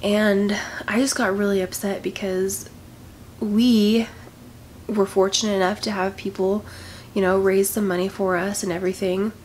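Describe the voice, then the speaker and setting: A young woman with a nasal, congested voice talks to camera in a personal vlog, speaking quietly and haltingly in a heavy, grieving way. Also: mid-range voice, little pitch movement, slight background noise. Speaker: vlogger. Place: indoors, small room with patterned backdrop. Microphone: camera in front, head-and-shoulders framing.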